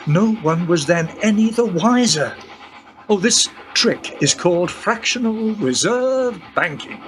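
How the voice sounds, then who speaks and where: A man's voice: sits high, animated, slow, a bit bright, full character voice. A man playing a patient, lecturing guide. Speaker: guide character. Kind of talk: radio play acting. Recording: background noise.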